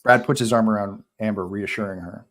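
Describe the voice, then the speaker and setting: A man's voice: fairly low pitch, steady tone, fairly quick, a bit bright. A man reading scene directions as the narrator of a live script table read.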